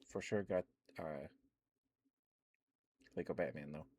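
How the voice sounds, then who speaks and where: Low-voiced young man rambling softly. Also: slow pace. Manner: rambling, meandering, with many soft fillers and quiet laughs. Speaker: ASMR streamer. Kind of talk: soft rambling ASMR chat.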